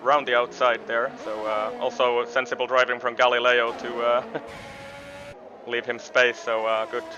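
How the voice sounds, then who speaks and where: A man with a Finnish accent co-commenting on an online racing league in a relaxed, chatty way, pausing on fillers. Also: mid-range voice. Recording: slightly dull sound with clear background noise.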